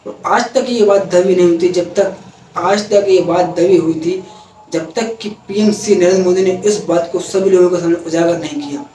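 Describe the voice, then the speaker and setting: A young man presenting a news explainer to camera, in an earnest, steady way, sometimes correcting himself mid-sentence. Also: high-pitched, normal speed. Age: young adult. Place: indoor room, parliament backdrop added behind. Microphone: camera a few feet away, no mic in view. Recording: echoey room, voice a bit far from mic, faint noise.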